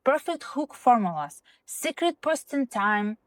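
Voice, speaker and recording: A woman's voice: a little high, big pitch swings, a little slow, a bit bright, dry recording. A woman who is not a native English speaker, a social media consultant giving direct, emphatic advice in a scripted talk to camera.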